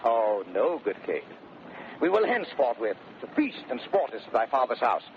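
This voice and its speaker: Brief: a wilful, mocking husband, declamatory and swooping, on a thin, noisy 1930s radio broadcast. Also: male voice, medium pitch, sing-song, normal speed. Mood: grandly cheerful. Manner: theatrical, declamatory, commanding. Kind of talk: 1930s radio Shakespeare acting. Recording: narrow, noisy vintage 1930s radio recording.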